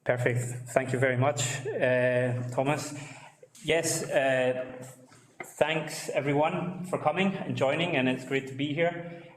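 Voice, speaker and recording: Man speaking flatly in an echoey hall. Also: medium pitch, slow, slight background noise. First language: English. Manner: hesitant, many fillers. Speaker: book author, speaker at launch. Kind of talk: presentation talk.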